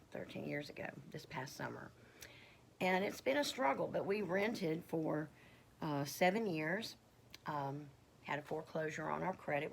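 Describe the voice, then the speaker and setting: Woman, middle-aged, croaky, low-pitched, rambling casual testimony, halting with fillers. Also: slight background noise. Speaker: homestead vlogger.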